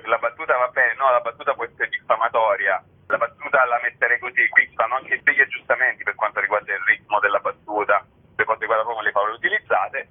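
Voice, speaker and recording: A man's voice: medium pitch, breathy edge. A man being interviewed as a guest, explaining his work in a chatty way with many filler words. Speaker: interview guest, satirical writer. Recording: narrow tinny phone line on radio.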